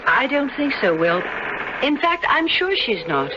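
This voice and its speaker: A woman's voice: sits high, big pitch swings, a little slow. An actress playing a prying, genteel married woman in a radio drama, gushing, polite and sweetly condescending. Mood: confiding. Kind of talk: 1970s radio drama acting. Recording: dull, hissy old radio drama recording.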